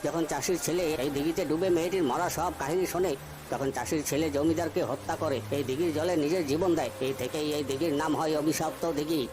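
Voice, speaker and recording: Man acting a commanding old village fisherman, higher-pitched. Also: fairly even intonation, quick pace, natural voice, rough recording. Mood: grave storytelling. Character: gruff, protective old village fisherman, superstitious storyteller. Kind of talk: Bengali animated ghost story voice acting.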